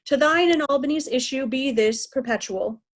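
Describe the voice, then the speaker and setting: Casting: woman as stately old monarch, higher-pitched voice, commanding, classical stage verse. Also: normal speed. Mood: pleased, commanding. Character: aging king, imperious, dividing his realm among daughters. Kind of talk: Shakespearean reader's theatre.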